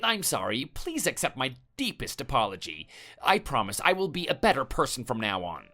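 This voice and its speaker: A man's voice: mid-range voice, wildly swooping pitch, normal speed, pushed and bright, soft background music, heavily acted. A man voicing a cowardly male neighbor who stammers and pleads, apologizing over and over. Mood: grovelling.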